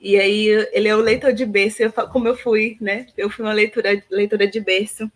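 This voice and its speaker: A woman in her thirties from Salvador, Brazil, answering interview questions reflectively with many pauses and fillers. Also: medium pitch, level tone. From Salvador, Bahia, Brazil. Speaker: guest, philosophy teacher.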